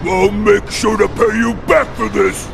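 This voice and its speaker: A man playing a big, dim, bullying albatross henchman in a video game, with a gruff, gravelly voice. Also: high-pitched, steady tone, measured pace, background noise, exaggerated voice. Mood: taunting. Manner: bullying, taunting. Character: big brutish dim-witted male cartoon albatross henchman. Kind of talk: video game cutscene acting. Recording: game music behind voice.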